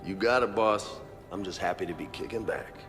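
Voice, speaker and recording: Deep-voiced brash soldier guy, acted by a man. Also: a little fast, long reverb tail, over music, lightly acted. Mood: casual. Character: laid-back, brash young male soldier. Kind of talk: video game dialogue.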